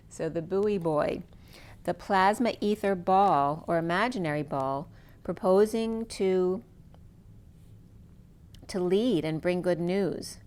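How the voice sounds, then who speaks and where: Woman reading tarot, losing her voice. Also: fairly low pitch. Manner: dreamy, free-associating, slow and deliberate. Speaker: tarot reader. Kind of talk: spiritual tarot reading monologue.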